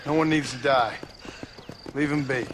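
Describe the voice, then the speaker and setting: An actor playing a stern, sardonic gunslinger in a western game, dry in manner. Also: male voice, medium pitch, fairly even intonation, moderate pace, heavy background noise, close to an everyday voice. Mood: firm warning. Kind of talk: animated western game acting.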